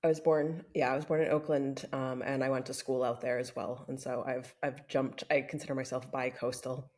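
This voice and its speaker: A woman's voice: rumbling low, flat delivery, fairly quick, darkish tone. A woman, a podcast guest, speaking thoughtfully and casually with fillers. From United States.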